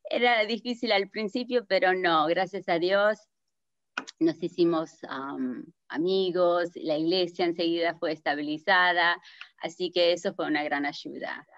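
Woman guest on a video call from home, friendly, lowish voice, dry and muffled sound. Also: unhurried, fairly bright tone, dry recording. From Argentina. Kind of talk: personal testimony. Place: home living room. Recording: dull video call audio.

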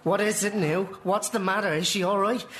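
A woman's voice: medium pitch, fairly even intonation, brisk, a bit bright, natural voice. A woman reading an audiobook, voicing an impulsive young man who asks urgent, worried questions. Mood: urgent worry. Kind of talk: audiobook character voice.

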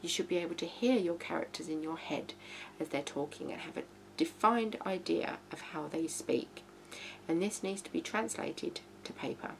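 Low-pitched woman with a gravelly creak. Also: moderate pace, poor audio. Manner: blunt, sweary, emphatic. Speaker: writing advice presenter. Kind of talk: informal video tutorial, talking to camera. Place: small living room indoors. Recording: hum and background noise.

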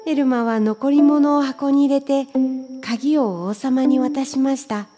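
Woman, high-pitched voice, children's story narration, music behind. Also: measured pace, dark tone. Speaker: storybook reader.

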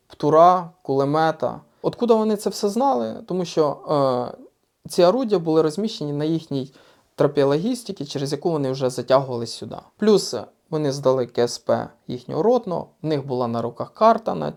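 A man from Ukraine, a soldier, recalling a combat operation in a casual, swearing, halting interview manner. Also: on the high side, lively intonation, creaky, darkish tone. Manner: casual, profane, halting with fillers. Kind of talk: interview recollection.

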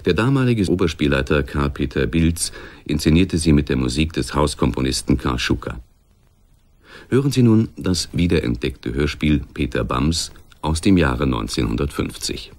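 A man's voice: rumbling low, animated, moderate pace, a little mellow. A man presenting a radio play with a scripted, literary introduction.

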